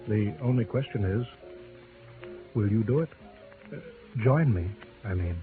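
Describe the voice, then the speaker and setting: An actor playing a henpecked husband desperate to escape, speaking in an agitated, halting way, in old-time radio drama style. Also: male voice, on the low side, lively intonation. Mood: anxious, desperate. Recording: dull vintage radio recording with music.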